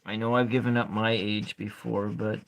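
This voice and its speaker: A man with a calm voice chatting softly with viewers while he draws and colors tarot cards, trailing off and restarting as he works. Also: moderate pace, gravelly, poor audio. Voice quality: calm speaking voice. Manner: quiet, low-key chatting with viewers. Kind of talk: soft-spoken ASMR art livestream chat.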